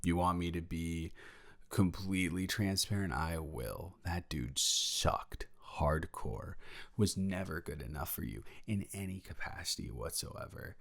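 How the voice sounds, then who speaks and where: Man playing a low-voiced, sheepish young roommate. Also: lively intonation, vocal fry, a bit bright, full character voice. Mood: confessing, intense. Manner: hesitant, casual, halting with pauses. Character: protective male roommate, sheepish, secretly jealous best friend. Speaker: audio roleplay performer. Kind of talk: improvised intimate roleplay monologue.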